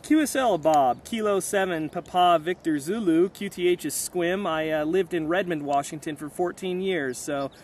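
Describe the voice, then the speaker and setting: A man's voice: sits high, normal speed, few pauses, slight background noise. A man speaking casually outdoors as an amateur radio operator, narrating and making calls with hesitant fillers. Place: outdoors on a hillside.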